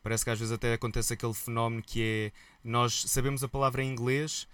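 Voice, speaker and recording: A man with a European Portuguese accent, a musician guest telling stories in a relaxed, colloquial interview chat. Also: medium pitch, quick pace. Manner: lively storyteller, relaxed and colloquial.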